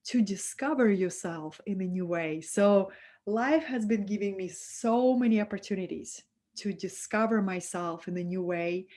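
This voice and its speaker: A woman from Russia with a Russian accent, hosting a live-streamed spiritual talk and speaking softly and affectionately to her viewers. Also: medium pitch, normal speed. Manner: soft, affectionate, reads out viewer comments.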